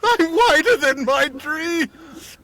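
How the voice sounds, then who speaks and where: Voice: high voice, expressive, takes it slowly, a loud crowd, exaggerated voice. An actor voicing an anxious, panicky piece of bubble gum in a comedic audio drama, stammering and repeating words. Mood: panicked.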